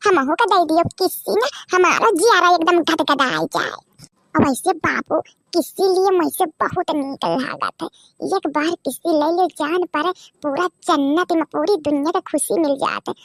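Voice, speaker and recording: A woman's voice: very high voice, expressive, unhurried, a bit bright, dry recording, heavily acted, poor audio. A woman voicing folksy, dramatic village women in a cartoon comedy, with an Awadhi accent and a childlike voice. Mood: coy, affectionate. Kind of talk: cartoon comedy dialogue in rural dialect.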